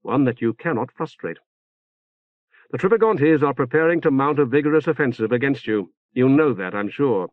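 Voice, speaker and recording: A calm, articulate leader arguing formally in an audiobook novel, voiced by a male reader. He speaks in a reasoned, orderly and firm way. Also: medium pitch, moderate pace, no echo, phone-quality sound, pitched above the performer's own voice, close to an everyday voice.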